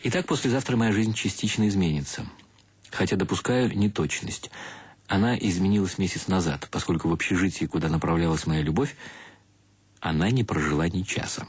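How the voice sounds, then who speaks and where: A man's voice: sits low, brisk, slightly rough, dry recording. A man acting as a wry, self-mocking intellectual bridegroom, narrating a radio play in an ironic, mock-solemn, ornate way. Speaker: actor narrating a radio drama. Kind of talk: first-person literary narration, radio play.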